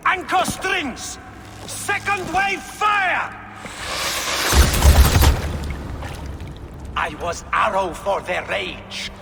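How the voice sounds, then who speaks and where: An actor playing a zealous, embittered rebel commander of archers in a video game, speaking like an orator. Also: male voice, extremely high pitch, exaggerated voice. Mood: defiant. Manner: declamatory, oratorical. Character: rabble-rousing treacherous male archer commander, zealous and embittered. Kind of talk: dramatic video game acting. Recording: long reverb, music underneath.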